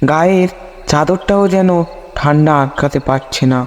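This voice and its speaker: A man's voice: on the high side, normal speed. A man reading a scripted ghost story as the narrator. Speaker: narrator of a horror cartoon story. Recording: long hall-like reverb on voice.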